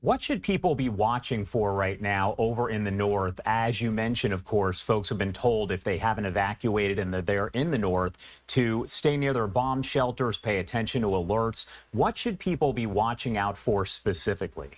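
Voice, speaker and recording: Lower-pitched male news anchor. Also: expressive, normal speed. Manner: polite, orderly questioning. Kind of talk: live broadcast news interviewing.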